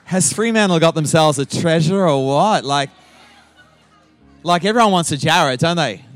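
A man's voice: fairly high pitch, animated, moderate pace, dry recording, soft background music. A middle-aged man with an Australian accent, a guest pastor opening his sermon with playful teasing and frequent laughter.

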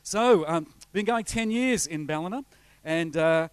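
A middle-aged Australian man speaking as a guest pastor, his voice sitting a little higher than most men's. He talks loosely and conversationally, full of uhs and false starts, and the sound is close and dry with almost no room echo. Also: lively intonation, unhurried, smooth. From north coast of New South Wales, Australia. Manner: chatty, rambling, frequent uh fillers and restarts. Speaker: visiting pastor. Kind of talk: informal sermon introduction with slides.

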